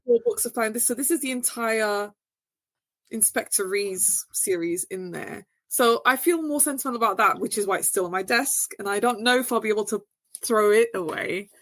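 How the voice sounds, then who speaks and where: A woman's voice: moderate pace, no room sound. A woman from Portugal talking as a guest novelist in a casual interview, chatty and hesitant with many fillers and restarts. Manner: rises high and animated when answering.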